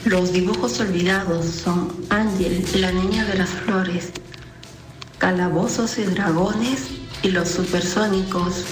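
A woman's voice: on the low side, fairly even intonation, slow. A woman leaving a short casual spoken message for a radio show. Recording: echoey room, music behind.

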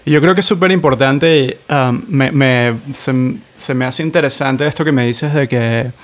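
A man hosting an interview, asking long, halting questions with many fillers. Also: medium pitch. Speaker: interviewer, host. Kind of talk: conversational interview.

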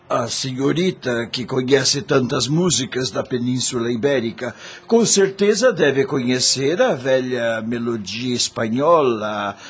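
A man playing a wealthy, nostalgic gentleman in his fifties in a radio drama, with formal, halting speech. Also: medium pitch, expressive, faint music behind. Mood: urgent.

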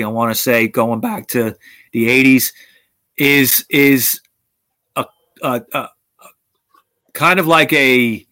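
A man's voice: medium pitch, pushed and bright, dry recording. A man hosting a talk show, speaking bluntly with frequent swearing and restarts. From United States. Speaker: podcast host. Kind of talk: opinionated casual talk.

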